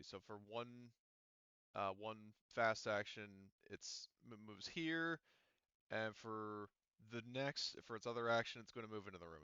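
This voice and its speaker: A man acting as game master of an online tabletop roleplaying game, explaining rules in a halting, deliberate way over a voice chat. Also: fairly low pitch, pushed and bright, clean tone, dry recording, lo-fi sound. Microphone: online voice call microphone.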